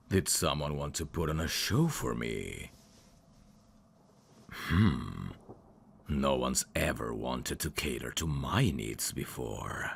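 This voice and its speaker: A man playing a suave, teasing demon in an intimate ASMR roleplay, courteous and flirtatious, with a gravelly voice. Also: a bass voice, slight background noise, heavily acted. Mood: teasing, curious. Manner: smooth-talking, flirtatious, formal phrasing with hesitations. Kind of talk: intimate ASMR roleplay acting addressed to the listener.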